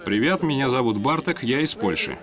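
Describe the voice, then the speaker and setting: A man reading a Russian voice-over translation of people introducing themselves, in a plain, steady way. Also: mid-range voice, brisk. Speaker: voice-over interpreter. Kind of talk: dubbed self-introductions, read evenly. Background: background music.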